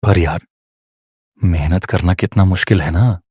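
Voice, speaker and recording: Deep-voiced storybook narrator man. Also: unhurried, slightly rough, no room sound, clean studio sound. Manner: steady, storybook telling. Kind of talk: children's cartoon story narration.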